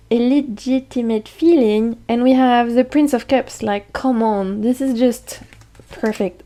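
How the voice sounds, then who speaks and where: Mellow-voiced chatty woman. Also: fairly high pitch. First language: French. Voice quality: chatty, enthusiastic, laughs often. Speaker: tarot deck collector. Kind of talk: casual vlog chat showing decks.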